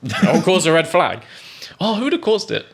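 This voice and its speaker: A man's voice: high voice, very animated, normal speed, gravelly, noisy background. A man bantering casually and teasingly as he makes guesses in a quiz. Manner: jokey, teasing. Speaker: quiz contestant.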